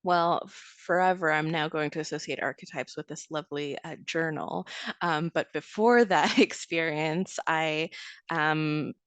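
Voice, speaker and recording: A woman's voice: deep voice, measured pace, fairly bright tone, dry recording. A young woman with Serbian as her first language, raised near Toronto, answering interview questions in a chatty way and laughing often. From Toronto area, Canada. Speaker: essayist guest.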